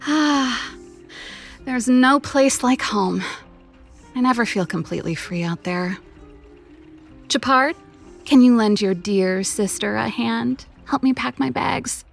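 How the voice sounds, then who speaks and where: A woman voicing a playful, teasing young big-sister inventor and musician in a video game, casual and expressive. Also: a little high, slow, vocal fry, pauses often, soft background music. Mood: playful, coaxing.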